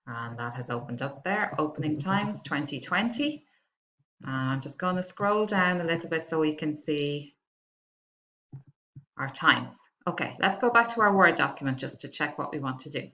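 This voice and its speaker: Irish female tutor, low voice, informal walkthrough of a lesson, slightly muffled lo-fi sound. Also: sharp tone. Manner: instructional, checking in with frequent "okay". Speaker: adult education maths tutor. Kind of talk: informal step-by-step screen-share lesson. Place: indoors, recorded over a screen capture.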